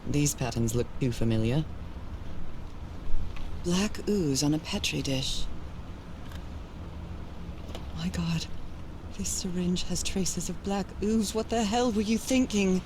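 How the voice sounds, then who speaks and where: A woman's voice: on the low side, unhurried, slight background noise, lightly acted. An actress playing a troubled, wistful woman explorer, narrating her thoughts reflectively and hesitantly in a dramatic video game. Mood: alarmed. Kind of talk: dramatic first-person game narration, introspective. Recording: loud music behind the voice. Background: loud game music.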